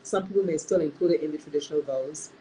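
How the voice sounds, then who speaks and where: A woman hosting a live-streamed talk as a counselor, sounding nasal from illness and speaking in an earnest, measured way with frequent restarts. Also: sits low, mellow, slight background noise, rough recording. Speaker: host and counselor.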